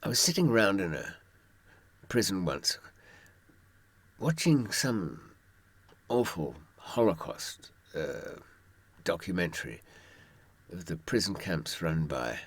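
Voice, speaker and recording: A man with a very low, husky voice talks informally, as if sharing hard-won advice. His intonation moves widely, and his wry, dry asides go straight to the listener. The sound is close and dry. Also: big pitch swings, takes it slowly, long pauses, no room sound. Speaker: author giving prison survival advice from experience. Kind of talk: informal monologue with anecdotes.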